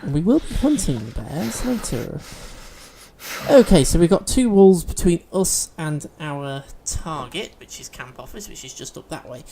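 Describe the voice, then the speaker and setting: A man's voice: on the high side, big pitch swings, moderate pace, creaky, dark tone, slight background noise. A man hosting a let's-play video, chatting and explaining game mechanics as he plays, a bit sniffly from allergies. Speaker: gaming video host.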